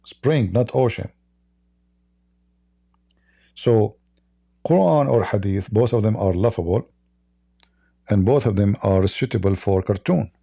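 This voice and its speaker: A gravelly, dark-toned man hosts a live call-in debate. His first language is Arabic, and he argues in a mocking, combative way. The recording is dry and close. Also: fairly low pitch, takes it slowly, long pauses, no echo. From Middle East. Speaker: host.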